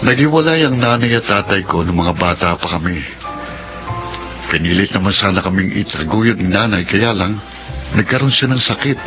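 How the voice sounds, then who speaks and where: A man's voice: lowish voice, expressive, moderate pace, natural voice. A man with a Filipino accent acting a struggling, proud young breadwinner and protective big brother in a radio drama. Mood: somber, resigned. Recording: music bed behind the voice.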